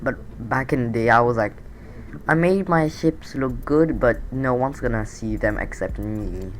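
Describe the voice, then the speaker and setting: A man's voice: medium pitch, measured pace, slight background noise. Nasal, sore-throated male commentator. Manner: rambling, many fillers and restarts. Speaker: gameplay commentator. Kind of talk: casual game commentary.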